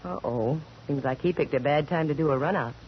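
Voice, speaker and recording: An actress playing a wry, self-assured private investigator, dry and breezy, in 1940s radio acting and narration. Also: female voice, low-pitched, a little fast, slight background noise, lightly acted. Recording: muffled old radio recording.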